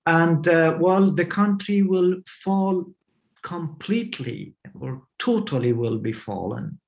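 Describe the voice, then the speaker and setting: Slow, halting man. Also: a little high, lively intonation, vocal fry, darkish tone. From Afghanistan. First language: not English. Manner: halting, many fillers and restarts. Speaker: interview guest. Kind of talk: remote interview answers.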